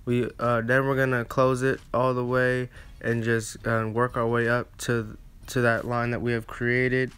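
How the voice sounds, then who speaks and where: A man's voice: mid-range voice, level tone, unhurried, fairly bright tone, clean tone. A man narrating a casual step-by-step barber tutorial, with hesitant, repetitive phrasing.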